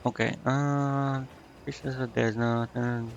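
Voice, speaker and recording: A man's voice: mid-range voice, level tone, takes it slowly, gravelly. A man with a mid-range voice talks casually through a video game playthrough. He rambles as he thinks aloud and stumbles when reading text out. The recording is a bit dull and lo-fi. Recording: dull, lo-fi microphone sound.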